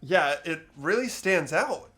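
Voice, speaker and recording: A performer voicing an earnest, uneasy teenage schoolboy who narrates an investigation in a visual novel game, hesitant and expressive. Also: mid-range voice, takes it slowly, faint music behind.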